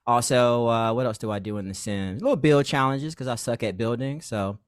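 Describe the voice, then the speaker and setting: A man talking as a podcast guest about his gaming videos, relaxed and rambling, trailing off into quieter asides. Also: medium pitch, a little fast, clear, resonant tone, dry recording, clean studio sound. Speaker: podcast guest, game content creator. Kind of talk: casual chat.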